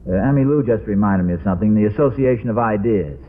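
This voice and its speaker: A man's voice: fairly low pitch, fast talker, close to an everyday voice. An American man playing a forgetful, stubborn middle-aged husband in a vintage radio sitcom, hesitant and earnestly explaining his odd logic. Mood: defensive, stubborn. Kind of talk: 1940s-50s radio sitcom acting. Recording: tinny, noisy old radio recording.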